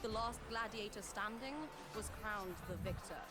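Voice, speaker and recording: A woman's voice: mid-range voice, steady tone, normal speed, close to an everyday voice. An actress playing a proud, battle-hungry female warrior in a video game. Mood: solemn, recounting. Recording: loud music behind the voice. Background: loud game music.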